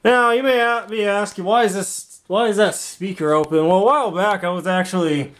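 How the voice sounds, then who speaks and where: A man's voice: high-pitched, brisk, fairly bright tone. A man giving a casual, informal walkthrough demonstration as a video host, rambling in stop-start phrases. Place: home rooms and workshop. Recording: clearly noisy room with clicks.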